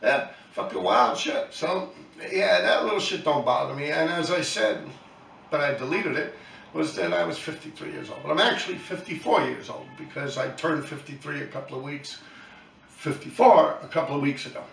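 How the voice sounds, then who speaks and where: Gravelly New man in his fifties. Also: on the high side, expressive, moderate pace, a bit bright, reverberant, recorded from a distance, soft background music, rough recording. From New York City. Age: 50s. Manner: blunt, profane, talks in loose run-on asides. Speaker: YouTube channel host, graffiti writer. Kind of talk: casual rambling monologue to viewers.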